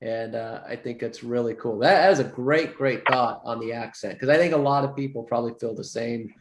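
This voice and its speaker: A man's voice: medium pitch, a little fast. Encouraging man hosting a coaching call. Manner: encouraging, repeats praise. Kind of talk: casual chat.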